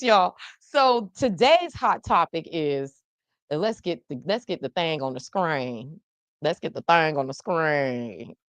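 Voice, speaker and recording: Woman host riffing on local news to her chat, lowish voice, dry close sound. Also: no echo. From South Florida. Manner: animated, playful, talks to the chat. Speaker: podcast host. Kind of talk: casual live news talk.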